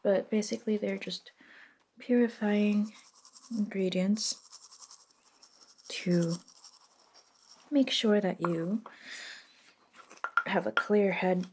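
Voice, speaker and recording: A woman playing a friendly, reluctant modern witch in a soft-spoken ASMR roleplay, casual, unhurried and slightly wry. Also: medium pitch, steady tone. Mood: reassuring, focused. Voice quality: soft, hushed delivery.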